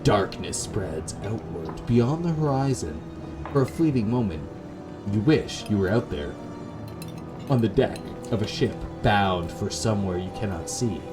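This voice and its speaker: A man reads horror game narration aloud over clear atmospheric music. His voice is lowish and moves slowly, with lively swings in pitch and casual asides between the dramatic passages. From Canada. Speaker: gamer reading a visual novel aloud.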